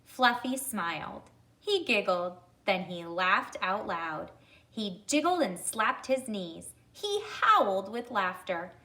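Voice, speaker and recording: A woman reading a children's story aloud, addressing young listeners deliberately and pausing to ask them questions. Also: mid-range voice, sing-song, bright, forceful tone.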